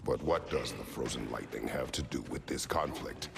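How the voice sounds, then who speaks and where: An actor playing a stern, stoic middle-aged warrior god in a video game, gravelly, terse and commanding in formal words. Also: male voice, a bass voice, normal speed, full character voice. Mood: solemn, probing.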